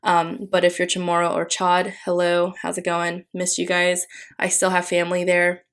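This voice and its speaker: A young woman from the Philippines, Tagalog as her first language, with a voice sitting a little low. She talks in a relaxed, rambling way, full of fillers and restarts, as a home voice-over for her planner video. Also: normal speed, clear, resonant tone. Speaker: planner vlogger. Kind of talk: casual voice-over while decorating a planner.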